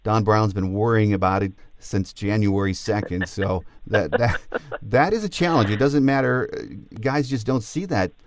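A man's voice: on the low side, moderate pace, no room sound. A man hosting a sports podcast, talking casually about games. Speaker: podcast host.

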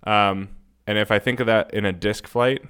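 A man's voice: lowish voice, fairly even intonation, moderate pace, a bit bright, clean tone. A man co-hosting a podcast, explaining things informally and thinking aloud as he goes. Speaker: podcast co-host. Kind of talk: casual podcast chat and explanation.

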